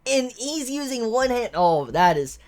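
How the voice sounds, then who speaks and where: A woman giving a chatty, excitable live manga reaction while congested from a head cold. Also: a little high, measured pace. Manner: excitable, chatty, exclaims often.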